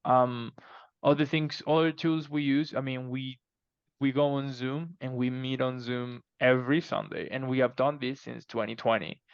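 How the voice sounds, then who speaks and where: A man speaking as an interview guest in casual, halting conversation, repeating words as he explains. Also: mid-range voice, fairly even intonation, vocal fry, smooth, no room sound, highs cut off. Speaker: podcast guest, fiction co-author.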